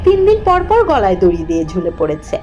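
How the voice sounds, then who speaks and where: A woman's voice: lowish voice, huge pitch swings, fast talker, darkish tone, highs cut off. A woman reading a scripted first-person ghost story as its narrator. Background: background music.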